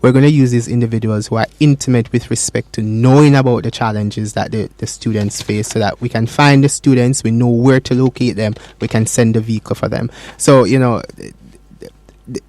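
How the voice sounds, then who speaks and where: A man explains party policy in a radio interview, earnest and a little halting, with frequent ums and uhs. His voice sits at a middling pitch. The sound is dry and close. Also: fairly even intonation, dark tone, no echo. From Jamaica. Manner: earnest, explanatory, many fillers. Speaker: party campaign spokesperson.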